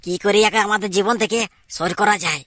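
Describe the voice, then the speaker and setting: A man's voice: high voice, quick pace, fairly bright tone, no echo. A man with a Purulia Bengali accent voicing a cocky, scheming young secret lover in an amateur cartoon, with an insistent, plotting manner.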